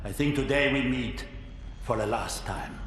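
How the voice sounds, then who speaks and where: An actor playing a gloating, sadistic old German mad scientist in a video game, menacing and theatrical with a German accent. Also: male voice, mid-range voice, a little hiss or hum, lightly acted. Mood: gloating menace. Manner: menacing, savoring, deliberate. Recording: echoing, loud music behind.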